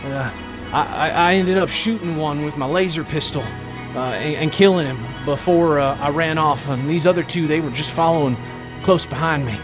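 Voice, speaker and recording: A man's voice: on the high side, normal speed, music in the background, lightly acted, low-quality recording. A man voicing a frightened young male fugitive with a Texas accent, stammering and out of breath. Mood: scared.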